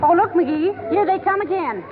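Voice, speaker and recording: A woman's voice: very high-pitched, lively intonation, normal speed. A woman playing a wry, patient American wife, folksy and teasing, in 1940s radio comedy. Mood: prodding. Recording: narrow, hissy 1940s radio broadcast.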